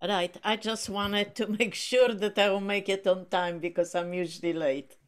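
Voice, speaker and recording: A woman with a Polish accent, a native Polish speaker, chatting on a video call as a book group guest, halting with frequent restarts and fillers. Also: medium pitch, fairly even intonation. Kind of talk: casual video call chat.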